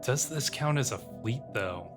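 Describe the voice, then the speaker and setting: A man's voice: medium pitch, animated, a little slow. A man doing roleplay game commentary, reading on-screen text and musing aloud to himself as he plays. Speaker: gaming video host. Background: game music.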